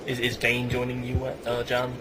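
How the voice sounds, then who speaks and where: A man's voice: mid-range voice, completely flat delivery, normal speed, a crowd in the background. A man hosting a casual group podcast, bossing the others along as he organizes them. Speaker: podcast host directing the reading.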